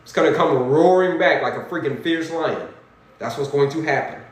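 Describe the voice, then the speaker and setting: Man, 40s, livestream health coach; animated, preachy, repeats himself; slightly high pitch; very reverberant room. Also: moderate pace. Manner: animated, repetitive, preachy, talks to viewers as folks. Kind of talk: energetic motivational livestream, reading chat comments. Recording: very echoey room.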